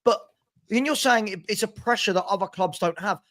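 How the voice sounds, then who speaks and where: A man with a high voice presses his argument in a livestream football debate. He works toward his point methodically, asking pointed questions along the way. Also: brisk, fairly bright tone. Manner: probing, builds his case step by step. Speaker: football fan panelist.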